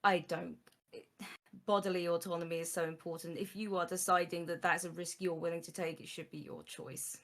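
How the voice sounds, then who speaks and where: Brief: woman host, lower pitch, earnest and reassuring. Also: flat delivery, a little fast, a bit bright. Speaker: podcast host. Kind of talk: supportive conversational chat.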